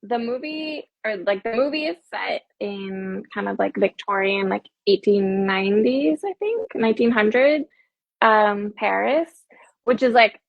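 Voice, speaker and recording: A woman's voice: mid-range voice, creaky, no echo. A woman from the United States hosting a casual chat, talking in a chatty way full of fillers and giggles. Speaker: host. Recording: dull, dry lo-fi audio.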